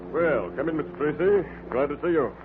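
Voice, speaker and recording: A man playing a worried, courteous ship's captain in an old radio drama, speaking gravely and formally. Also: a little high, lively intonation, lightly acted. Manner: deliberate phrasing, grave, formal. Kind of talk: 1940s radio acting. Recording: tinny, noisy old radio recording.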